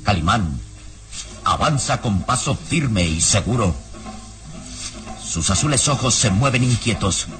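A man's voice: on the low side, animated, a little slow, long pauses. A man narrating an old radio serial in a dramatic, suspenseful, emphatic style.

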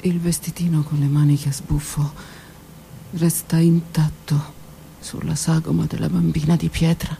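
A woman performing a theatrical one-woman monologue, delivered dramatically, moving between loud outbursts and hushed whispers. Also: low voice, level tone, a little slow, vocal fry, slight background noise. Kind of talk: theatrical monologue broadcast on radio.

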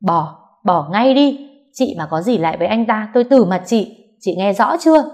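A woman voicing a fiery, foul-mouthed younger sister who shouts and scolds in a high, sharp voice. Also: lively intonation, moderate pace, lightly acted. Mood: angry. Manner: loud and fierce.

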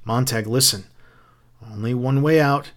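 A man's voice: medium pitch, unhurried, lightly acted. A male reader plays an old man secretly advising someone in a novel. He is anxious and insistent, scolding in short urgent commands and pleading for caution. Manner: urgent, hushed-word pleading, imperative. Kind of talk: audiobook character acting.

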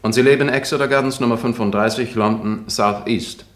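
An actor playing a formal prosecutor who questions in a clipped, methodical way in a German radio drama. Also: male voice, mid-range voice, steady tone, a little fast, natural voice. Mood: businesslike.

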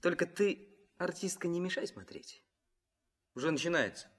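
Sullen teenage boy, highish voice. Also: moderate pace, a bit bright, breathy edge, in a large hall. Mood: condescending. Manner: curt, contrary, condescending. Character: bored, know-it-all fifteen-year-old son, sullen and dismissive. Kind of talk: Soviet radio play acting.

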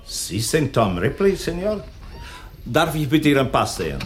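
A man acting in a German radio drama as an officious Italian police captain, speaking in halting, foreign phrasing. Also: a little high, big pitch swings, faint music behind. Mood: formal, polite.

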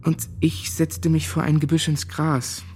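An actor playing a haunted young former Nazi school pupil, giving a sombre, literary recollection in a German radio drama. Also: male voice, on the high side, little pitch movement, measured pace, darkish tone, lightly acted.

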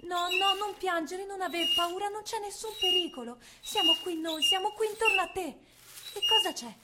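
A woman's voice: very high voice, normal speed, very noisy. An actress playing a frantic blind woman in a symbolist stage play, with urgent, repetitive, incantatory delivery. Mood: frantic soothing. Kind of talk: theatrical stage acting.

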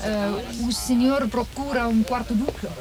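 An actress playing a friendly, informal young holiday acquaintance in a naturalistic radio drama. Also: female voice, fairly high pitch, steady tone, moderate pace, crowd noise, natural voice. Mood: helpful. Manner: informal, switches into Portuguese to interpret. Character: friendly, casual young woman, helpful German holiday acquaintance. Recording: noisy cassette tape of radio play.

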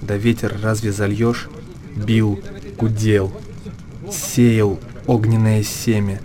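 A man performing as a solemn storyteller, narrating a literary radio drama in measured short phrases. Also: lowish voice, flat delivery, a crowd in the background. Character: solemn storyteller of a monastery tale.

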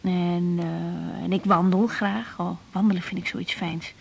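An actress playing a young woman doctor in a radio drama, earnest and a little hesitant, with a slightly husky voice. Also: fairly low pitch, steady tone, a little slow, full character voice. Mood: polite, hesitant. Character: young female doctor, earnest, unsure but quietly assertive. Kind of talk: naturalistic Dutch radio drama dialogue.

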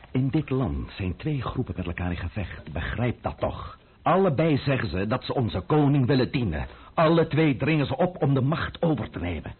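Husky, imperious French count. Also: male voice, on the low side, exaggerated voice. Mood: exasperated, scolding. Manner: haughty, lecturing, blustering. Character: imperious, scheming French count and royalist intriguer, impatient, sardonic. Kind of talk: radio play acting. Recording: muffled, narrow-band old radio recording.

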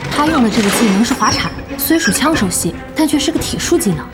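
Actress as high, animated, brash young woman boss. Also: fast talker, loud music behind the voice, natural voice. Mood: excited commentary. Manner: animated exclaiming, teasing, blunt. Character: brash young woman boss, excitable, sharp-tongued, protective. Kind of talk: audio drama acting.

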